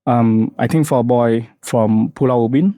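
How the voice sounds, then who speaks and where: A man in his thirties with a Vietnamese accent talks in an earnest, halting way, with plenty of fillers. His tone is warm and mellow. The mic is close and dry. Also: medium pitch, no echo. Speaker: podcast guest, founder. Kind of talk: casual podcast chat.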